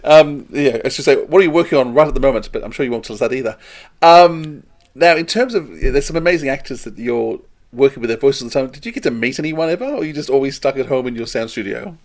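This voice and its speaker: A man interviews a guest as a podcast host. He speaks casually and conversationally, in a voice of middling pitch. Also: lively intonation, fast talker, darkish tone.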